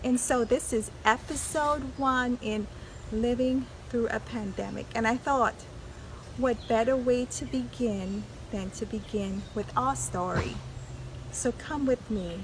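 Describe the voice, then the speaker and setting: A woman's voice: fairly high pitch, fairly even intonation, a little slow, noisy background. A middle-aged woman from Trinidad and Tobago who hosts a vlog and tells her own story in an earnest, reflective way, often restarting her sentences. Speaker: vlog host.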